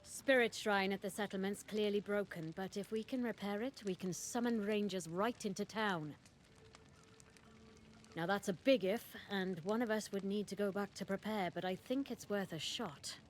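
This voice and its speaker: An actress playing a pragmatic, plucky ranger comrade in a video game, casual, confident and matter-of-fact. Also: female voice, mid-range voice, normal speed, slight background noise, close to an everyday voice. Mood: determined. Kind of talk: video game character dialogue.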